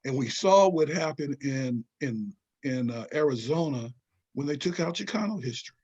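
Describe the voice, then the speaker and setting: A man's voice: mid-range voice, animated, normal speed, a little mellow, husky, highs cut off. An older man from Southern California, a historian being interviewed, who talks in emphatic, digressive runs and keeps checking in with "right?" and "you know?". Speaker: guest, historian and author. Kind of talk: interview conversation.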